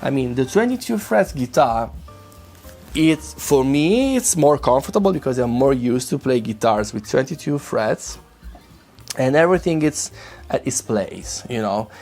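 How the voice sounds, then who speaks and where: Gravelly Italian man recovering from flu. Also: medium pitch, sing-song, measured pace, darkish tone, soft background music. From Italy. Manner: hesitant, many restarts, friendly. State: voice rough from a flu. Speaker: guitar gear reviewer. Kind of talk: casual demo chat to camera.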